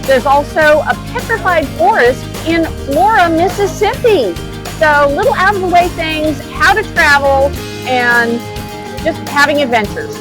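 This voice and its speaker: A woman's voice: high voice, a little slow. A woman from the Czech Republic, a travel adventurer and speaker, answering interview questions in an open, earnest way. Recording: music playing underneath.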